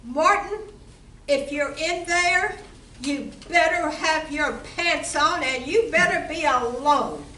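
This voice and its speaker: A saucy, bossy barmaid with a high, sharp voice, acted by a woman in an amateur play. She projects each line slowly and deliberately. It sounds roomy, picked up from a distance. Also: heavily acted. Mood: urgent, bossy. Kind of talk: amateur community theatre reading. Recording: roomy, distant mic with background noise.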